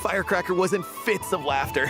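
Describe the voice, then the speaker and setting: A woman's voice: on the low side, a little fast, lightly acted. A woman voicing a wise, wry storybook creature in a video game, speaking like a storyteller. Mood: wry, knowing. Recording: music behind the voice.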